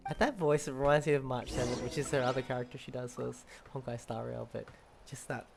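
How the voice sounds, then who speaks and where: Low-voiced woman reacting to a game. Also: steady tone, quick pace, gravelly, background noise. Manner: amused, giggly. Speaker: game streamer. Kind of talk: casual live game reaction commentary. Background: game music.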